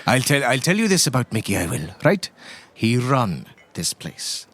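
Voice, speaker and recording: A man's voice: mid-range voice, sing-song, moderate pace, a bit bright. A man playing a chatty, tipsy priest who loves tall tales, with an Irish accent and a rambling, lilting delivery, in an improvised tabletop game. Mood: reminiscing, wistful. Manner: rambling, lilting storyteller with repeated tag phrases.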